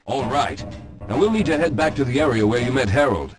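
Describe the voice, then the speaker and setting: A man's voice: mid-range voice, animated, a little fast, faint music behind, natural voice. A man playing a formal, anxious scientist in echoey reverb. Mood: impatient, determined. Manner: formal, articulate, explanatory. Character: weary, guilt-ridden scientist, anxious and self-critical. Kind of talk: scripted video game character dialogue. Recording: echoey hall with long reverb.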